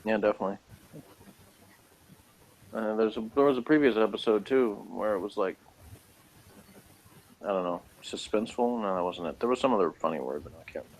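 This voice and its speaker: A man's voice: lowish voice, brisk, pauses often, low-quality recording. An American man chatting casually as a co-host of a review show, with a laid-back, dry, blunt manner. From United States. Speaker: podcast co-host reviewing a TV episode. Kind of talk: casual chat.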